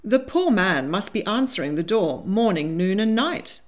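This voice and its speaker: A woman voicing a hearty, affectionate older gentleman lord in a storybook, jovial and teasing. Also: mid-range voice, expressive, normal speed, natural voice. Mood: sly teasing.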